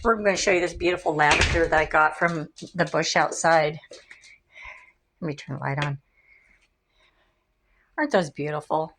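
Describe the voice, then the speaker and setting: A middle-aged woman, slightly raspy this morning, chatting casually and rambling into her phone as she walks from outside into her home. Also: deep voice, steady tone, normal speed, pauses often, fairly bright tone, slight background noise. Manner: rambling, easily distracted, laughs often. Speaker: vlogger. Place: outdoors then inside a home. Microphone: phone held by hand.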